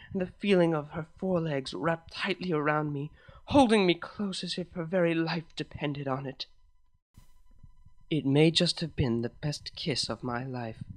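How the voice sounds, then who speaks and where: A story narrator with a mid-range voice reads first-person fiction aloud. The delivery is dramatic and emotive, suited to romantic fan fiction. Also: mid-range voice.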